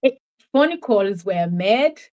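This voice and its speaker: A woman's voice: mid-range voice, animated, takes it slowly, a little mellow, highs cut off. A woman from Uganda with a Ugandan accent, giving an earnest, reflective account of her own life as a guest in a conversational interview. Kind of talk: personal testimony in conversation.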